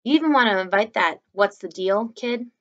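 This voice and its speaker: A woman's voice: mid-range voice, expressive, normal speed, dull audio, voice pushed up, close to an everyday voice. A woman voicing a schoolboy's mother in a storybook, reasoning and placating, with a touch of impatience. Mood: surprised. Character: patient but slightly impatient mother of a schoolboy.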